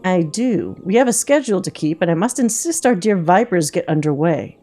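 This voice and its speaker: A woman voicing a smooth, imperious noble schemer from a video game, formal and falsely sweet. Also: lowish voice, lively intonation, a little fast, dark tone, faint music behind. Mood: smug, insistent. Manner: formal, mock-polite.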